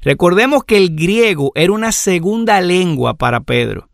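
A man teaching a Bible lesson in a measured, explanatory way, listing examples one after another. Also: sits high, expressive, vocal fry, dry recording, studio quality. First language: Spanish. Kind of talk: lecture on scripture.

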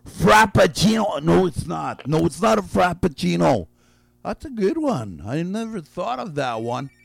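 A man's voice: sits high, very animated, gravelly, no echo. A man hosting a call-in guessing game on the radio, drawing out and repeating each guess while he is losing his voice. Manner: drawn-out, repeats each guess slowly, chuckles. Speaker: radio game show host.

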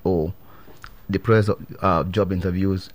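Brief: Nigerian male HR expert, bold low voice, halting explainer on radio. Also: fairly even intonation. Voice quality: bold, deep voice. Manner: hesitant restarts, laughs. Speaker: HR expert guest. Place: radio studio.

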